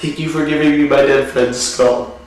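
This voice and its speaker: A man playing a mild, awkwardly polite captive in a comedy sketch, with halting, deadpan delivery. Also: a little high, close to an everyday voice. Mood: awkward, earnest. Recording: very echoey room, distant mic.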